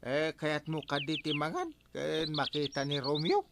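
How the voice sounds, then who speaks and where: A man playing a bumbling, teasing villager in a comedy drama, stammering and excitable. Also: fairly high pitch, moderate pace, creaky. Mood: bumbling, evasive. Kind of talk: broadcast comedy drama acting. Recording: loud background noise with birdsong.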